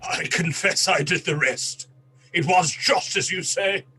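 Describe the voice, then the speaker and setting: An actor playing a disgraced English colonel in a radio play, a cornered, self-pitying traitor pleading and confessing in agitation. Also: male voice, high voice, unhurried, pushed and bright, breathy edge, full character voice. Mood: anguished confession.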